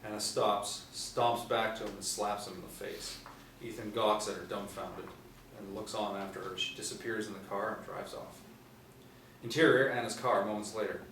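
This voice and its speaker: A man's voice: fairly low pitch, steady tone, normal speed, gravelly, fairly bright tone. A man reading scripted stage directions as a narrator at a table reading. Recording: very echoey room, distant mic, faint noise.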